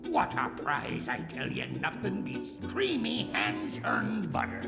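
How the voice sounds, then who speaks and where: A man voicing a blustering, melodramatic old ghost pirate captain in a cartoon game, gravelly and theatrical. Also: mid-range voice, wildly swooping pitch, slow, over loud music, full character voice. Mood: smug. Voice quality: gravelly, creaky. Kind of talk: cartoon game character acting.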